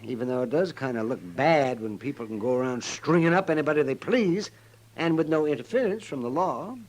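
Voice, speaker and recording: An actor playing a wry, needling American town doctor in a 1950s radio western, with a teasing, folksy manner. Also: male voice, mid-range voice, animated, a little fast, darkish tone, close to an everyday voice. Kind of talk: 1950s radio drama acting. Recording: faintly noisy old radio recording.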